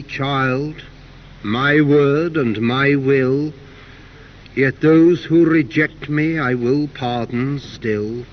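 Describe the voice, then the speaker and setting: A man voicing God in a recited poem, stern yet sorrowful and forgiving, with solemn, weighty questioning. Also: mid-range voice. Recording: dull hissy old cassette recording.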